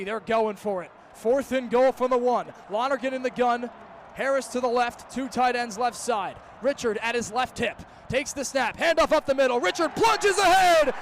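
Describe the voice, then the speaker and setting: Young man, very high-pitched voice, radio play-by-play, clipped excitable football calls, slight background stadium noise. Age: young adult. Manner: clipped phrases building into drawn-out excited calls. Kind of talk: live radio sports commentary.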